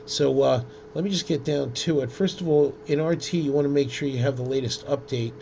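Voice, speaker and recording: A man presenting an informal software tutorial in a halting, hesitant way, with frequent ums. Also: medium pitch, gravelly. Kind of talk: informal screen-share software demonstration. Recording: dull lo-fi audio with loud noise.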